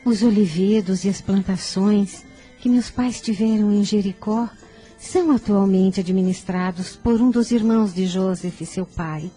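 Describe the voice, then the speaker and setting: A devoted mother, played by an actress, earnest and gentle in a radio drama. Her mid-pitched voice is extremely mellow, with soft music in the background. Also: a little slow, natural voice.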